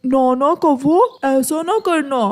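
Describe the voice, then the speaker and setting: A woman's voice: high-pitched, slow, a little mellow. A woman voicing a kind old tree in a children's cartoon, with a plaintive manner. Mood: worried. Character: big old kind tree, pleading and grateful. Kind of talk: Hindi children's cartoon voice acting.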